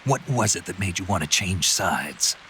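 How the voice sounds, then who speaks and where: A stoic video game soldier with a deep, forceful voice. He is gruff and terse, always probing, over a faint hiss of rain. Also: very animated, normal speed, full character voice. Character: gruff male soldier on a mission, stoic and questioning.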